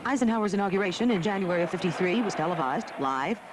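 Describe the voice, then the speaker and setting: A man's voice: high-pitched, lively intonation, fast talker, background noise. An American man delivering scripted narration for a broadcast documentary.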